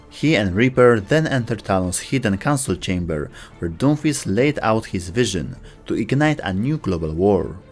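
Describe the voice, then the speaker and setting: A man delivering scripted storytelling narration for a video game lore video. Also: fairly low pitch. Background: faint background music.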